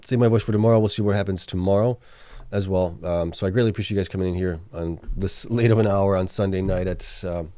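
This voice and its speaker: A man with a lowish, mellow voice hosts a late-night livestream and works through figures out loud, stopping often to correct himself. His voice is starting to wear out. The sound is dry and close, with no room echo. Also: fairly even intonation, fast talker. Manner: thinks aloud, many fillers and self-corrections; reads out viewer chat questions. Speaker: livestream host. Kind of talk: casual livestream chat reading financial results.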